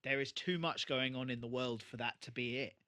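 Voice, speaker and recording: A man's voice: mid-range voice, brisk, bright, forceful tone. A British man co-hosting a podcast, chatting casually and bluntly about an episode. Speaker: podcast co-host. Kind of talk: casual episode review chat.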